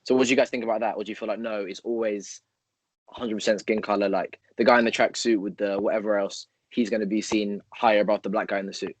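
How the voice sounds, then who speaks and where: Low-voiced man, rambling podcast co-host. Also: steady tone, fast talker, dry recording. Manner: rambling, thinks aloud with many "like" fillers and hypothetical examples. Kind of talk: casual conversational interview.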